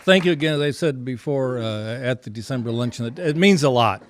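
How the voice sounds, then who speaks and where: A man's voice: mid-range voice, lively intonation, brisk, crowd noise, noisy background. A man chairing a club meeting with informal, rambling and hesitant remarks and announcements.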